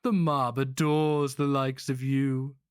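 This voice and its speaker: A man's voice: medium pitch, flat delivery, slow, smooth, dry recording, clean studio sound, pitched above the performer's own voice, lightly acted. A man voicing a haughty, contemptuous monstrous sorcerer grand master in archaic, formal speech for a fantasy story. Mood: haughty, menacing.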